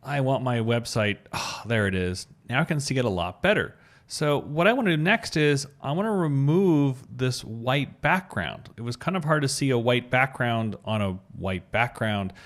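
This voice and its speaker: A man with a mid-pitched voice that moves up and down in an animated way. He presents an informal screencast tutorial, talking through each step as he works and spelling out code terms. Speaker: web design tutorial presenter.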